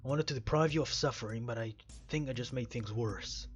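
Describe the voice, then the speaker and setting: A sombre police officer acted out by a man in a visual novel, low and quiet. He talks in a measured, apologetic way, with music behind and dull audio. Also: lightly acted. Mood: remorseful.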